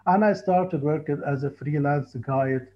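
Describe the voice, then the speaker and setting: Egyptian man telling his story. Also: on the high side. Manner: halting, earnest, frequent restarts. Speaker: tour guide and Egyptologist. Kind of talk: casual interview on a call. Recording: muffled lo-fi call audio.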